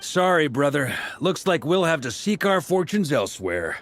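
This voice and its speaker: A man's voice: a little high, sing-song, normal speed, a bit bright, slight background noise. A man playing an upbeat, playful young adventurer who reasons things out in a video game. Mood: playful, mock-heroic.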